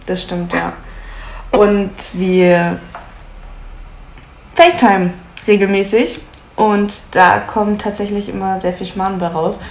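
A woman in her twenties from Munich, co-hosting a podcast in casual chat, chatty and quick to laugh, with frequent filler sounds. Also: mid-range voice, level tone. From Munich, Germany. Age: 20s. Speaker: podcast co-host. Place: at home in Munich. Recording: echoey room with faint noise.

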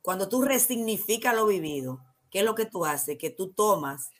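A woman with a Dominican accent, a guest counselor on a talk show, explaining ideas in a calm, teacherly way and answering her own questions. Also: medium pitch, moderate pace.

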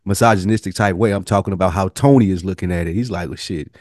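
A man's voice: deep voice, quick pace, a little mellow, dry recording, studio quality. A man co-hosting a podcast in casual banter, slangy and emphatic, often repeating his phrases. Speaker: podcast co-host.

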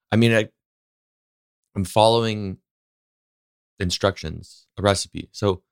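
Smooth-voiced man rambling casually. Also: sits low, dry recording, clean studio sound. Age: 30s. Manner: rambling, slangy, upbeat, swears freely. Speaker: podcast host. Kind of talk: casual solo podcast monologue.

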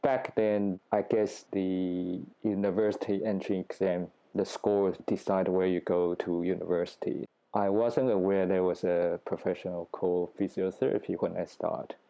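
A man from Taiwan speaks English with a Taiwanese accent, his voice low and mellow. He answers in a thoughtful, plain-spoken way, with small grammar slips of a second-language speaker. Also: flat delivery, moderate pace, creaky, few pauses, rough recording. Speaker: guest researcher. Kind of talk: interview answers.

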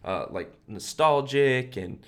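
A man's voice: mid-range voice, lively intonation, slow, soft background music. A man in his twenties chatting casually as a podcast co-host, rambling and repeating himself with lots of filler words. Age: 20s. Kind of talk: casual chat about films.

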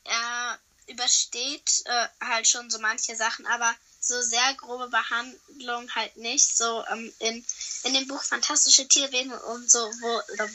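A hoarse woman talking as a podcast guest over the phone, hesitant with many fillers. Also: on the high side, flat delivery, unhurried, bright, forceful tone, poor audio. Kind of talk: casual chat. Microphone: phone call.

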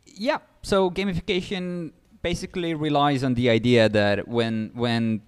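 A man's voice: a little high, fairly even intonation, a little slow, smooth. A young man from Estonia, founder of a startup and speaking as an English speaker, explaining ideas eagerly with many fillers and restarts. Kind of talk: interview guest.

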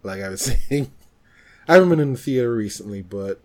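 A man co-hosting a podcast, chatting casually about films in an animated, exclamatory way. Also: medium pitch, brisk. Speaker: podcast co-host.

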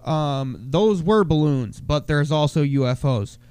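A young man co-hosting a casual podcast, stuffy from a cold, talking in loud, crude, slangy banter. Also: fairly high pitch, animated, unhurried, smooth, no room sound. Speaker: podcast co-host.

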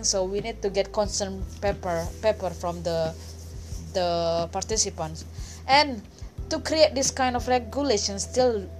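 A young woman speaks English with a strong Indonesian accent, hosting her own podcast. She talks in a hesitant, unscripted way and backs up to fix her words, at a middle pitch for a woman. Clear music plays underneath her voice. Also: darkish tone. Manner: hesitant, often restarts and corrects herself. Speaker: podcast host. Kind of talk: solo podcast monologue.

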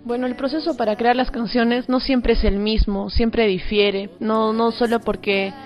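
A woman's voice: on the high side, steady tone, measured pace, soft background music. A woman with a Peruvian accent speaking as an interview guest, a singer-songwriter talking casually. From Peru.

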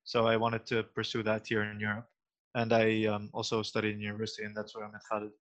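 A man in his twenties co-hosting a podcast, soft-spoken and halting, with many "um"s as he talks. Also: fairly low pitch, monotone, muffled recording. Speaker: podcast co-host. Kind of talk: casual podcast chat.

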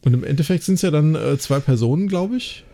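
A man's voice: on the high side, expressive, brisk, mellow. A man co-hosting a podcast, retelling and discussing a story in a chatty, colloquial German style. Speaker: podcast co-host. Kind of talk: casual discussion and retelling of an audio drama plot.

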